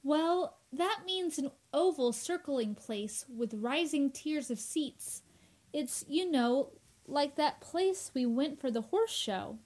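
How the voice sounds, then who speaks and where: A woman's voice: high voice, animated, takes it slowly, darkish tone. A woman playing a sensible, well-informed young woman in an old-time radio drama, reasoning and explaining with theatrical clarity. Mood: cheerful, explanatory.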